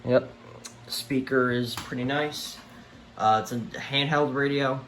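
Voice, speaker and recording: A man giving a casual, unscripted show-and-tell, speaking haltingly and trailing off at the ends of his thoughts. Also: medium pitch, steady tone, a bit bright, roomy sound, poor audio. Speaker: collector presenting a home video tour. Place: room at home among many radios. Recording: clear background noise and room ambience. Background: weather radio broadcast playing.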